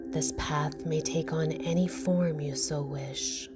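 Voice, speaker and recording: Low-voiced woman guiding a meditation over music. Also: steady tone. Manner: soothing, slow, gentle. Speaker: meditation guide. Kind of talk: guided meditation narration.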